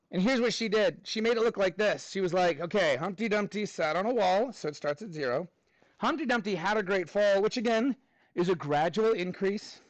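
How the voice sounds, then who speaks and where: High-voiced man teaching a classroom. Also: no room sound, poor audio. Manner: animated, informal, encouraging. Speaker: middle school math teacher. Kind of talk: classroom teaching, talking with students.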